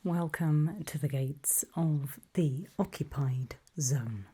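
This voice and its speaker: A calm, faintly sinister female official acted by a woman speaks in a very breathy whisper, slowly and softly. Her tone is low and mellow, measured and politely ominous, as in an ASMR roleplay briefing. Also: heavily acted. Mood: polite, composed.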